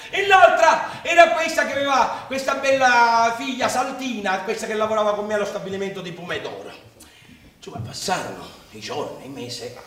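A man's voice: high-pitched, fairly bright tone, recorded from a distance. An actor playing a naive, boastful young Sicilian farmhand, telling his life in earthy dialect as a theatrical stage monologue. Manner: earthy, colloquial, ungrammatical dialect storytelling. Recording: echoey theatre stage from afar, music behind.

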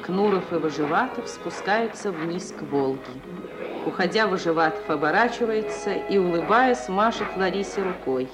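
Low-voiced woman narrator over music. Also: expressive, normal speed. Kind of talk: scripted stage-direction narration for radio play. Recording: old radio recording with music.

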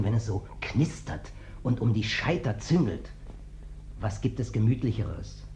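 An actor in a German radio play playing a big ex-wrestler drifter, wheedling and falsely humble, with slyly menacing folksiness. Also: male voice, medium pitch, darkish tone, a little hiss or hum, close to an everyday voice. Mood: dreamy, menacing. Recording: dull, band-limited radio play recording.

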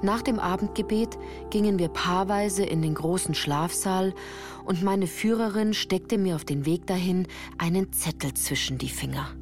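Female narrator for literary radio play: lowish voice, composed, careful diction, clear music bed underneath. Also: moderate pace. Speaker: first-person narrator.

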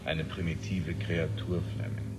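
An actor playing a cool, ambitious research doctor with an authoritative, persuasive manner in a German science fiction radio play. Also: male voice, low voice, flat delivery, unhurried, a little mellow, faint music behind, low-quality recording.

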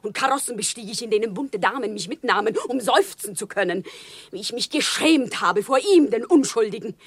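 A woman's voice: on the high side, animated, a little fast, slightly rough, no echo. An actress playing an impassioned, naive commoner woman who pleads solemnly and fervently in a German radio play. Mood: fervent, accusing. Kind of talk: German radio play, theatrical stage diction.

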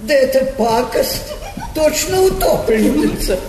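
A commanding, sceptical princess in a period stage comedy. She speaks slowly and curtly, with blunt disapproval. Her voice echoes in a theatre hall. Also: sits high, animated, background noise. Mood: scornful disbelief.

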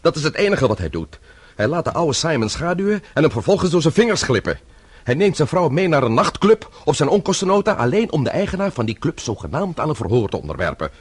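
A troubled man in a radio play who cannot bear to wait. His husky, medium voice is animated and impatient, with a sarcastic edge and a very dry, close sound. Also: a little high, fast talker, no room sound. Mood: sarcastic, bitter. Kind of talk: radio drama acting.

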